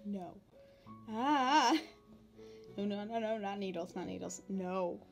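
A woman playing a playful, anxious young demon princess in an ASMR roleplay, talking casually and haltingly with a giggly manner. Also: medium pitch, faint music behind. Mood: nervous. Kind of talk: ASMR roleplay, unscripted-sounding casual chat.

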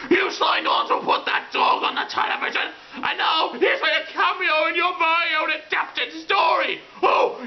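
Woman acting a very high-pitched, indignant sidekick. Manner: melodramatic, shouty, exasperated. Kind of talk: scripted comedic reaction. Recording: dull muffled microphone, clear background noise.

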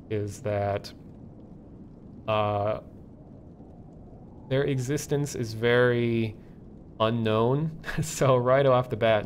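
Man explaining casually, faint rumble behind. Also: a little mellow. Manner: halting, thinking aloud. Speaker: livestream host. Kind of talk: casual live explainer, unscripted.

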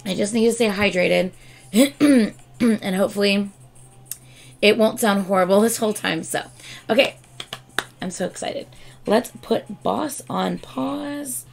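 Hoarse, excitable woman with a gravelly voice. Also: mid-range voice, a little slow, pauses often. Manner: excitable, chatty, gushing with laughs and exclamations. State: losing her voice, hoarse. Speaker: K-pop reaction YouTuber. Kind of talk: casual reaction vlog. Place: home room with shelves of albums and collectibles, indoors. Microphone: webcam framing at desk.

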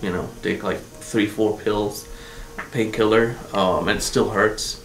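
A man's voice: fairly low pitch, fairly even intonation, measured pace, fairly bright tone, soft background music. A middle-aged man from Egypt, a patient, answering questions in a clinic room in earnest, brief replies with some hesitation. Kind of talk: interview answers. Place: dental clinic treatment room. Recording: echoey clinic room, faint hiss.

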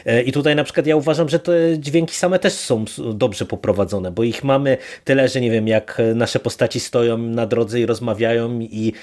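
A man co-hosting a podcast, casually discussing a review in a halting way as he searches for words. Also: medium pitch, a little mellow. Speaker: podcast co-host. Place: virtual studio, remote recording.

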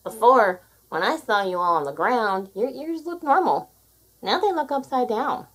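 A woman voicing a curious possum in a children's storybook, asking in a drawn-out, inquisitive way. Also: a little high, expressive, a bit bright, husky, low-quality recording. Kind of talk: children's storybook read aloud.

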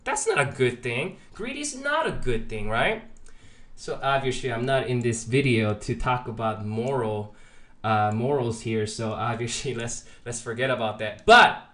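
A man's voice: mid-range voice, pushed and bright. A man giving informal, emphatic market chart commentary with rhetorical check-in questions, his voice slightly congested from a sore throat and sinus trouble. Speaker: market analyst and host of a trading update. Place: voice-over on a screen recording.

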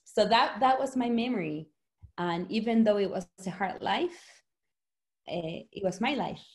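A woman's voice: mid-range voice, a little slow. A woman in her forties from Argentina with an Argentine accent, talking earnestly and reflectively about home in a video-call interview. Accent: Argentine, from Rosario. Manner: earnest, reflective, speeds up when excited. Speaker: author. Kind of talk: conversational interview. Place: small home room.

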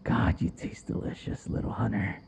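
A man playing a possessive, taunting vampire captor in an intimate ASMR roleplay, his breathy voice often dropping into whispers. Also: a little mellow, natural voice. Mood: hungry, commanding. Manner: taunting, slow, drawn-out endearments. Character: possessive, obsessive male vampire captor and would-be lover. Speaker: audio roleplay voice actor.

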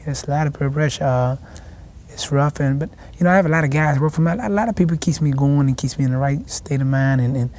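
A young man, a boxing champion answering in a TV studio interview, halting and restarting his words. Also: a little high, darkish tone, slight background noise. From South Carolina, raised in Washington, D.C. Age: young adult. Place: TV studio set.

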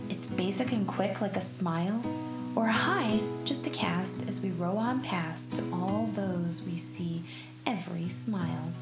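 A woman narrating a rhyming children's picture book in an expressive, measured storytelling way. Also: medium pitch. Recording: roomy echo, music behind. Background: plucked guitar music.

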